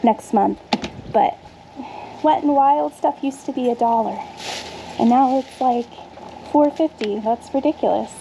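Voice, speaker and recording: Woman, thirties, chatty vlogger, thinking aloud, a little high, gravelly, outdoor patio, noisy background. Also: unhurried, pauses often. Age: 30s. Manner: chatty, thinks aloud while sorting items. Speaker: vlogger sorting dumpster finds. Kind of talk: casual narration to camera.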